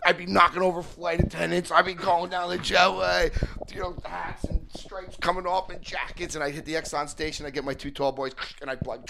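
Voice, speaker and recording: A man's voice: high-pitched, flat delivery, fairly quick, gravelly, noisy background. A man from New Jersey with a New Jersey accent, leading a recovery group through a book with jokes and stories and checking in with his listeners. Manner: joking, storytelling, checks in often with the group. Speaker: recovery workshop speaker.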